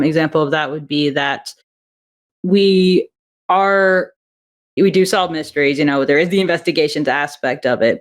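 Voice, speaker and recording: A young adult woman, a guest on an interview over a video call, talking enthusiastically about her audio drama and thinking aloud with frequent restarts. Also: deep voice. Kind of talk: casual interview chat.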